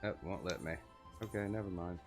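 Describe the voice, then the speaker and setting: A man's voice: deep voice, fairly quick, vocal fry. A man streaming a video game, reading its text aloud and adding casual offhand commentary. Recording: game music behind the voice. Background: video game music.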